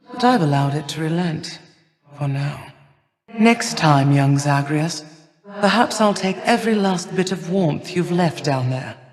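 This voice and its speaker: An imperious elder goddess of winter, rings out in a long reverb. The delivery is stately and cold, low for a goddess yet never hurried, with formal, old-fashioned phrasing and a haughty, grudging temper suited to a mythic video game. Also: female voice. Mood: stern warning. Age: older. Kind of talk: video game character voice lines.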